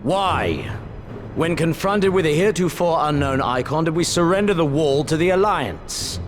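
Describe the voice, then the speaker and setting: An actor playing a cold, arrogant imperial prince in a game cutscene, theatrical and deliberate, relishing the hunt. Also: male voice, a little high, expressive, close to an everyday voice. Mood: scornful, impassioned. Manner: theatrical, deliberate, swinging from quiet menace to outburst. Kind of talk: dramatic video game cutscene acting. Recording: music behind the voice.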